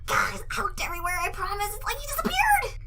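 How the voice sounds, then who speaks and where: A woman's voice: sing-song, fast talker, loud music behind the voice. A woman voicing a jittery, panicky teenage cartoon boy who stammers and yelps nervously. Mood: anxious, pleading. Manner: stammering, high-strung nervous yelling.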